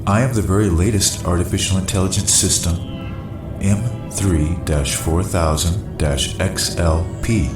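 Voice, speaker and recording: An actor playing a formal, literal-minded, self-important artificial intelligence aboard a spaceship in a comedy audio drama. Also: male voice, sits low, unhurried. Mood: stiff, proud. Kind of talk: full-cast sci-fi comedy audio drama. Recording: roomy echo, music underneath.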